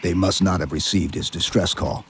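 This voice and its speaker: An actor playing a stoic male super-soldier in a video game, terse, deadpan and commanding. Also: low-pitched, moderate pace, heavy background noise, lightly acted. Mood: stoic, resolute.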